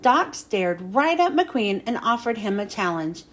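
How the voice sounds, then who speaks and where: A woman reading a children's storybook aloud as an expressive narrator. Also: mid-range voice, a little slow. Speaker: storybook reader.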